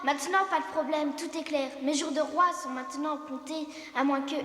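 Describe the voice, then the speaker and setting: A woman's voice: high-pitched, steady tone, brisk, a bit bright. A woman voicing an earnest young male elephant king in a French-dubbed cartoon, exclamatory and trusting. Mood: worried.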